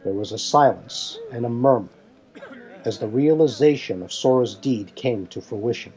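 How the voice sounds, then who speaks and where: A man's voice: medium pitch, moderate pace, a little mellow, rough recording. A man reading scripted fantasy narration in a formal, dramatic storytelling manner. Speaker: narrator and reader.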